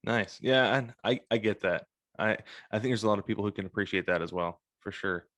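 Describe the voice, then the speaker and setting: A man with a fairly low voice speaks as a podcast interviewer. His delivery is casual and chatty, and the sound is close and free of any room echo. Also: fairly quick, a bit bright.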